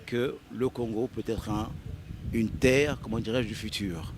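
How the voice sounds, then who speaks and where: A man's voice: lowish voice, steady tone, unhurried, noisy background. A man interviewing a guest on a cultural talk show, asking long questions while searching for words.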